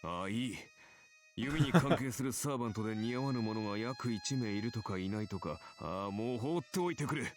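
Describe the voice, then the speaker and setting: A man's voice: lowish voice, expressive, very fast, natural voice. An actor playing a dry, sardonic archer hero in a visual novel, composed and wry as he lectures. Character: dry, sardonic adult male heroic archer spirit. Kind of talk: Japanese visual novel voice acting.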